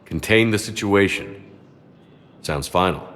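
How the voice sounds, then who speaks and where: An actor playing a steadfast, protective military commander in a video game, with a terse, grave and restrained delivery. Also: male voice, on the low side, unhurried, fairly bright tone, big-room sound. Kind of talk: dramatic video game acting.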